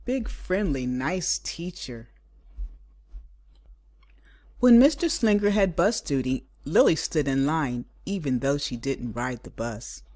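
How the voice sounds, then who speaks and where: A woman reading a children's story aloud as a teacher, with deliberate, expressive emphasis. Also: sits low. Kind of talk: expressive picture-book read-aloud.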